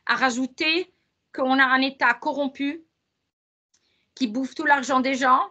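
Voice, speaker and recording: Bright-voiced woman. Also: sits high, fairly even intonation, unhurried, highs cut off. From Lebanon. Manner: earnest, explanatory, many fillers and restarts. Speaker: guest academic. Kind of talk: conversational interview.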